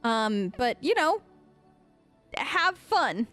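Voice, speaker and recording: A woman's voice: high voice, very animated, extremely slow, a bit bright, faint music behind. A woman doing casual, chatty role-play commentary as she plays a video game in character. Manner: conversational, playful, chatty. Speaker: gamer playing in character.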